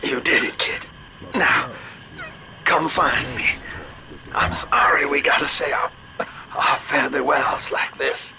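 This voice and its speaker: A man's voice: a little high, slow pace, pauses often, background noise. An actor playing a slick, world-weary older businessman in a video game, with a folksy, familiar drawl. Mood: pained, resigned.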